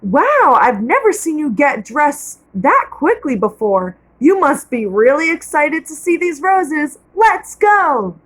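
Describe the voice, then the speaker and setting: A woman reading aloud and voicing a loving, gentle mother talking to her young daughter. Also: high-pitched, very animated, slow pace, hardly any pauses, exaggerated voice, poor audio. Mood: tender. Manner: soothing, affectionate.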